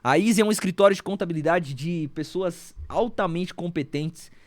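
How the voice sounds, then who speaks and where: A man's voice: high-pitched, normal speed, no room sound. A man hosting a Brazilian business podcast, reading sponsor ads and chatting with a guest in an enthusiastic, friendly manner. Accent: Brazilian Portuguese. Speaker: podcast host. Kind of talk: sponsor reads and interview hosting.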